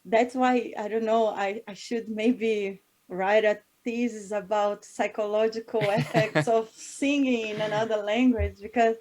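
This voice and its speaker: A woman from Brazil speaks, at a middle pitch. She reflects aloud in an interview, trailing off and restarting, often breaking into small laughs. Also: fairly even intonation, unhurried, few pauses, a little mellow, rough recording. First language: Portuguese. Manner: thoughtful, searching for words with frequent restarts and laughs. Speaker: singer. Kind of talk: interview conversation.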